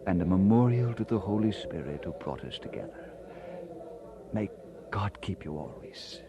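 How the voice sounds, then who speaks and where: Earnest, lively-voiced romantic preacher. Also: male voice, low-pitched, normal speed. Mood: tender, solemn. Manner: courtly, sentimental, formal phrasing. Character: earnest, romantic Scottish-born preacher in love, adult man. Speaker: actor in a religious radio drama. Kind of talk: old-time radio drama acting. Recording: dull vintage radio drama recording.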